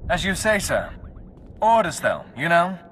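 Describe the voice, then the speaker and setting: High-voiced, deferential young-sounding soldier. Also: male voice, sing-song, unhurried, a bit bright, a little hiss or hum. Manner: clipped, casual, respectful to superiors. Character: deferential, informal male guard-post soldier. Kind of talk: video game cutscene acting.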